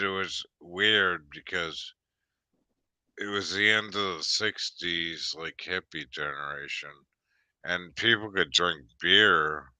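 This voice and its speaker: A man in his fifties chatting casually, rambling with many restarts and fillers, sometimes bursting out excitedly. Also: low-pitched, smooth, no room sound. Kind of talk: casual chat.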